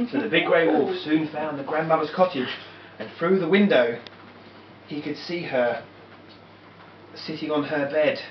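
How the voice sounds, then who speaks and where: A woman's voice: low voice, unhurried, long pauses, recorded from a distance. A woman telling a children's story as an amateur puppet-show narrator, sometimes stumbling over her words. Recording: dull, noisy, echoey home-video from afar.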